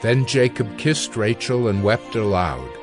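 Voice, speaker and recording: A man narrating scripture from a script in a measured, formal way. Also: fairly low pitch, animated, music in the background.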